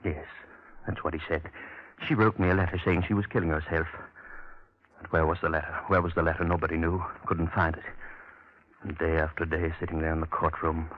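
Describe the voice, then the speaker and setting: An obsessive, greedy hangman narrating his own tale in a very low voice. He broods and gloats, repeating himself as if unhinged, in a 1940s radio horror style. The recording is dull and vintage. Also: level tone, fairly quick, no room sound. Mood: morbid, obsessive. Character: gloating, greedy, unhinged old-time hangman, haunted and fearful. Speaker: narrator of the story.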